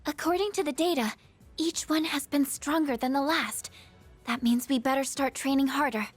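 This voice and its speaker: A shy, clever teenage schoolgirl voiced by a woman who speaks softly and politely, often hesitating. Her voice is high and light. It is a video game cutscene. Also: moderate pace, faint music behind, natural voice. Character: soft-spoken teenage schoolgirl, shy and bright.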